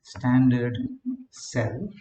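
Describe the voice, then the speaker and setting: A man lecturing a class who often checks in with "right?", repeats words and dictates notes slowly. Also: mid-range voice, fairly even intonation, mellow. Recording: echoey classroom, dull lo-fi sound.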